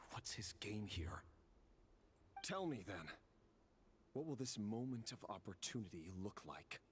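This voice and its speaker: A brooding, low young man. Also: fairly bright tone, slight background noise. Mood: demanding. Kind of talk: video game voice acting.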